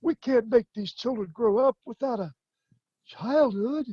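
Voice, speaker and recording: A woman's voice: on the high side, unhurried, husky, no room sound, lo-fi sound. A woman playing an earnest shoemaker hero who pleads with the villain in a community stage play. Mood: pleading.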